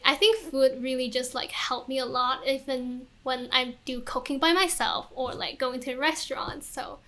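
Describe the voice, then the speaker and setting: A woman's voice: high-pitched, steady tone, moderate pace, sharp tone, a little hiss or hum. A young woman student from Hong Kong, chatting casually with many fillers. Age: young adult. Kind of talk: casual discussion answer.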